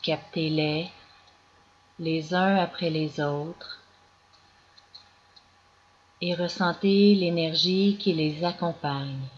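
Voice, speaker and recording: Very slow-paced woman guiding meditation, muffled recording. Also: sits low. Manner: slow, calm, measured instructions with long pauses. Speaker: yoga nidra guide. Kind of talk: guided meditation, scripted narration. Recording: dull lo-fi recording with clear background noise.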